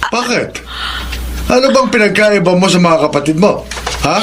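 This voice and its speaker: A man's voice: high voice, lively intonation, moderate pace, fairly bright tone. An actor playing a stern, old-fashioned father in a Tagalog radio drama, scolding and domineering. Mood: scolding, dismissive. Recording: noisy drama track with background ambience.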